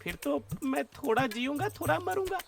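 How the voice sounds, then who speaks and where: A man's voice: very high voice, animated, normal speed, vocal fry, faint music behind, heavily acted. A man playing a whiny, work-shy clerk in a comic cartoon, cheeky and wheedling, with exaggerated delivery. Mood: whiny excuses.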